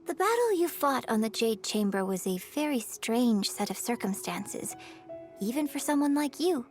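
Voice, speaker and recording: A woman playing a poised young opera performer in a video game, speaking as a patient, articulate acting mentor in measured phrases. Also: on the high side, very animated, soft background music. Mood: patient, instructive.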